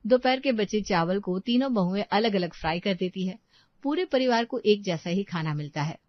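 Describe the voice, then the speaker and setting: Woman, story narrator, scripted reading, medium pitch, dry sound. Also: lively intonation, moderate pace, no echo, dull audio.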